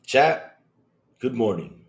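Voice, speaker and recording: A man hosting a casual livestream with a congested, slightly hoarse voice, swinging between loud bursts and low asides. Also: very animated, slow pace. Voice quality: hoarse, grumpy-sounding congested voice. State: congested, sick with allergies or a cold. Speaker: livestream podcast host. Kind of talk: casual livestream chat to viewers.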